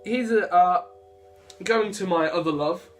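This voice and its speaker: A young man with a slightly high voice chats casually over clear background music. He rambles and breaks off his own sentences as he vlogs to his viewers. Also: measured pace. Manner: rambling, self-interrupting. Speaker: vlogger. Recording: music behind the voice.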